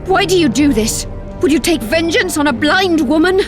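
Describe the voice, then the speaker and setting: An actress playing a proud, imprisoned sorceress who pleads urgently for release while keeping a haughty edge. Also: female voice, very high-pitched, expressive, moderate pace. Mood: urgent, pleading. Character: proud imprisoned sorceress, middle-aged woman. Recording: game audio with orchestral music.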